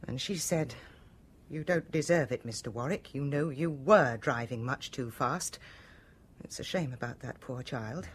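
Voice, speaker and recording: A woman's voice: extremely low pitch, measured pace, husky, heavily acted. A woman in a radio drama playing an anxious, genteel British wife, well-spoken and hesitant. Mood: bitter recounting.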